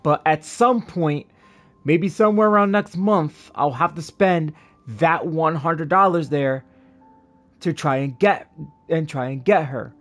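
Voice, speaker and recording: A man with a fairly high voice talks casually to his stream. He rambles along, often circling back to repeat a phrase. Faint music plays behind him. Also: measured pace, fairly bright tone. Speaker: game streamer. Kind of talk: casual livestream chat over gameplay. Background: game music.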